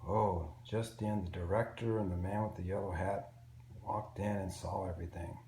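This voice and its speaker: A man reading a picture book aloud to young children in an expressive, simple storytelling way. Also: low-pitched, moderate pace. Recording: roomy echo with faint background noise.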